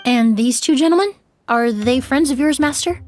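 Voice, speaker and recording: A woman's voice: sits high, normal speed, lightly acted. A woman playing a polite, analytical young perfumer in a video game, speaking precisely and thoughtfully.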